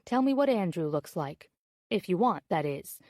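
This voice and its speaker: Actress doing a friendly coy woman reading her emails out, audiobook style, soft mellow tone, really dry sound. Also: lowish voice, sing-song, moderate pace, no echo. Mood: coy, chatty. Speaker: audiobook narrator. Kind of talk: scripted audiobook narration.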